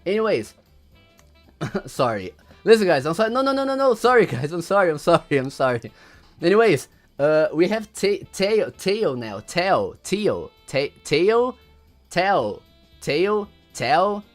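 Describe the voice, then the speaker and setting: Young male streamer, Portuguese first language, slightly high voice, rambling casual talk, faint music. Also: sing-song, unhurried. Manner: rambling, repeats words, reads chat aloud. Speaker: livestreamer. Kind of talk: casual livestream commentary.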